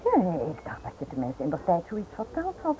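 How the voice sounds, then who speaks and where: A woman's voice: on the low side, huge pitch swings, brisk, warm tone. A woman playing a well-bred, teasing, chatty wife in a radio drama. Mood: chatty, curious. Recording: thin, noisy old radio recording.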